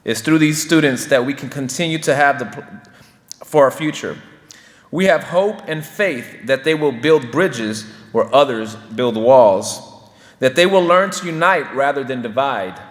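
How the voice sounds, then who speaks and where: A man's voice: mid-range voice, expressive, a little slow. A man, a Spanish teacher, giving a formal, earnest ceremony speech to honor students. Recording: hall with long reverb.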